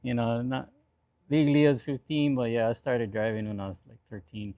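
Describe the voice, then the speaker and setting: Man in his forties from Hawaii, halting delivery. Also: mid-range voice, mellow, no room sound. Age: 40s. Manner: halting, repeats phrases and affirmations. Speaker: interview guest, lowrider builder. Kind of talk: casual conversational interview.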